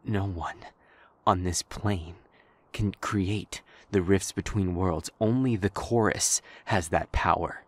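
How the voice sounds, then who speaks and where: A man playing an impassioned, defiant strategist in an audio drama, loud, urgent and confrontational. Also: low-pitched, slow. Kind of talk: dramatic audio roleplay acting. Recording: echoey reverb with noisy ambience.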